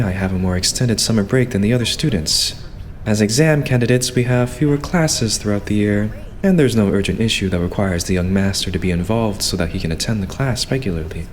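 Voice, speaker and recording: Man playing a low-voiced, formal young butler idol. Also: a little hiss or hum, natural voice. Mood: reserved, matter-of-fact. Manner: formal, courteous, measured, servile phrasing. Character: polite young male idol butler, courteous yet quietly condescending. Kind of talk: anime-style fan dub acting.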